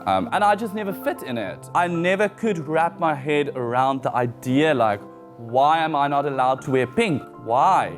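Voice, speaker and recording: A man's voice: fairly high pitch, lively intonation, normal speed. A young man from South Africa with an Afrikaans accent, chatting casually as an interview guest and laughing often. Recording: music bed underneath.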